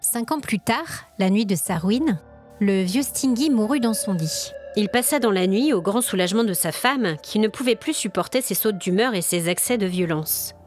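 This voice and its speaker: A woman's voice: mid-range voice, lively intonation, normal speed, a little mellow, faint music behind. A woman storyteller reading scripted narration of a spooky folk tale.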